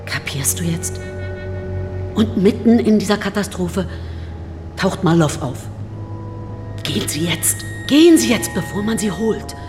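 A woman's voice: medium pitch, animated, unhurried, long pauses, close to an everyday voice. An actress playing a cold, commanding Soviet woman scientist who guards a state secret in a radio drama. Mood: urgent, commanding. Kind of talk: German radio drama acting. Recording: reverberant hall, music underneath.

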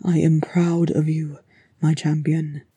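A solemn, anguished ghost of a dwarf king from a fantasy storybook, performed by a woman. He speaks very slowly and fervently in a rasping, breathy voice. The recording is dry and intimate. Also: low voice, little pitch movement, warm tone, no room sound, full character voice. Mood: fervent, tender.

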